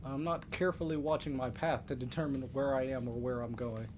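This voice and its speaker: A man's voice: medium pitch, a little fast, background noise. A man livestreaming a video game, giving casual commentary and thinking aloud as he plays. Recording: loud game music behind the voice. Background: game music.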